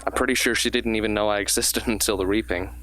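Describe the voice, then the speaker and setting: A man's voice: lowish voice, fairly even intonation, fast, fairly bright tone, slight background noise, natural voice, low-quality recording. A man playing a likeable, bashful, joking teenage boy in a radio drama.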